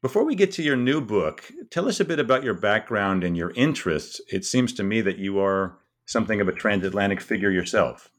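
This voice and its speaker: A man's voice: on the low side, animated, a little fast, few pauses. A man hosting a book interview podcast, reading a formal introduction and asking questions. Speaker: podcast host.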